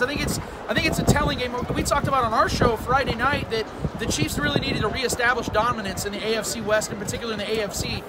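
Fast, emphatic man breaking down a game. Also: very high-pitched. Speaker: guest podcaster. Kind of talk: postgame fan analysis. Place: outdoor football stadium at night. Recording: loud outdoor stadium noise.

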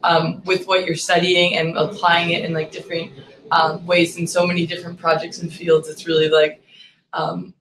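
A woman's voice: fairly low pitch, little pitch movement, normal speed, a bit bright, a little hiss or hum. A young woman from the United States, a university student intern, talking earnestly with many fillers. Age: 20s. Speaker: videography intern. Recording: echoey room, faint ambience.

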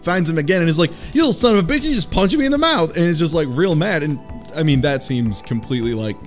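A man's voice: a little high, lively intonation, fast. A man co-hosting a casual chat, slangy and joking, with easy swearing. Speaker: podcast co-host. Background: faint music.

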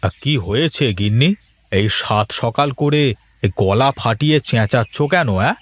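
A man playing a simple, good-natured village pumpkin seller in a cartoon, with folksy drawn-out exclamations. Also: mid-range voice, natural voice. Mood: puzzled. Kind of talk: cartoon moral-story voice acting.

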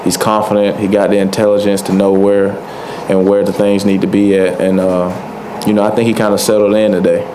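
A young man speaks into a table microphone at a postgame press conference. His voice sits low and stays flat, and he talks in a relaxed, soft-spoken way with many fillers. Steady hiss runs under the room. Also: fairly quick, hardly any pauses. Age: young adult. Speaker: college football player. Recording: noisy press room audio.

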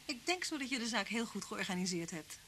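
A woman's voice: a little high, sing-song, brisk, pushed and bright. An actress playing a motherly, good-humoured and capable inspector's wife in a radio drama. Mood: affectionate. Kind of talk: radio drama acting and narration. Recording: old hissy radio recording.

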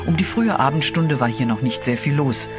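A man giving scripted third-person narration in an even, storytelling way for a horror radio play. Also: mid-range voice, fairly quick. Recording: music bed under the voice.

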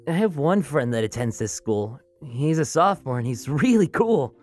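A woman voicing a friendly, eager teenage freshman boy, chatty and upbeat, in an animated roleplay. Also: a bass voice, moderate pace, soft background music, exaggerated voice. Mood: friendly, curious.

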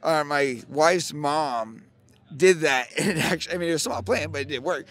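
A man's voice: fairly high pitch, moderate pace, a bit bright. An American man interviewing someone outdoors in a casual, chatty way on a handheld microphone. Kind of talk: casual chat. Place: outdoors on a festival lawn. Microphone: handheld microphone, face covered by cloth.

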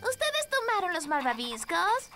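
An upbeat young cartoon girl, very high and lively in her intonation. She sounds sensible and reassuring, over loud music. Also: measured pace, full character voice. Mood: curious, reassuring. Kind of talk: animated children's cartoon dub.

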